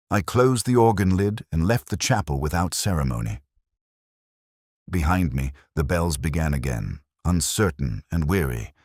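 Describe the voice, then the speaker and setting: A man playing a weary, melancholy, razor-minded police inspector, narrating a detective audio drama in a measured, literary, brooding way. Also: low voice, a little mellow, dry recording, studio quality, heavily acted.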